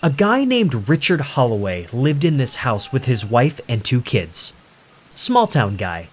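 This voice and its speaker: A man's voice: mid-range voice, sing-song, measured pace, a little hiss or hum, voice pushed up. A man voicing a cocky young livestreamer who tells horror tales with showy confidence. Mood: confident, dramatic. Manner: showy, confident, dramatic storyteller. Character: cocky young male ghost-hunting livestreamer hyping his audience. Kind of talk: horror story narration, character dialogue.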